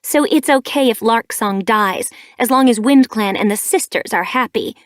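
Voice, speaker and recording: A woman's voice: on the high side, normal speed, dry recording, clean studio sound, voice pushed up, close to an everyday voice. A woman reading an audiobook, voicing a young she-cat mother who is grieving and angry, with a raised, accusing voice. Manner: accusing, bristling.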